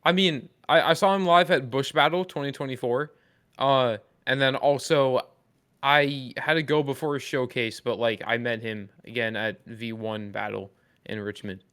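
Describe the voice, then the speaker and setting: A man's voice: mid-range voice, steady tone, normal speed, a bit bright, clear, resonant tone, no room sound, studio quality. Slangy, teasing young-sounding male podcast host. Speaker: podcast co-host steering the discussion. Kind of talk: casual podcast banter.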